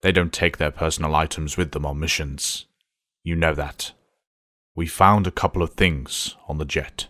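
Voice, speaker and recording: A man acts out a resolute older mentor in an audio drama. The voice is extremely deep with a forceful edge and a dry, close sound. Delivery is grave and measured. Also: fairly even intonation, no room sound. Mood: stern.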